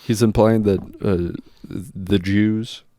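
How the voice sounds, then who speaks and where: Low-voiced man, deadpan comedy banter. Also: slow pace, no echo, studio quality. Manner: deadpan, ironic, asks questions. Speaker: podcast co-host. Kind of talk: casual comedy podcast chat.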